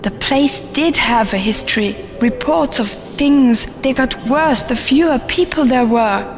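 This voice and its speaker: A woman playing a haunted, fearful office worker, narrating an audio horror drama in a grave, deliberate way. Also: sits high. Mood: haunted dread. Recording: long reverb, dull sound, music behind.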